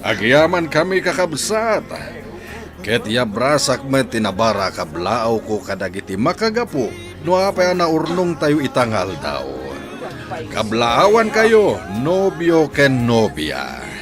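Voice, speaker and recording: A man plays a teasing father in a radio comedy drama. His mid-pitched voice rises and falls in a lively way, with an Ilocano accent. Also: takes it slowly, over music. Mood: proud, announcing. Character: teasing father of the wedding couple.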